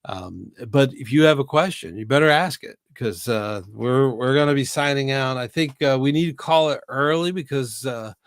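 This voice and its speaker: A man's voice: mid-range voice, moderate pace, hardly any pauses, no echo, clean studio sound. A middle-aged man hosting a casual live video-call chat, recovering from a cold, rambling and laughing often. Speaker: host.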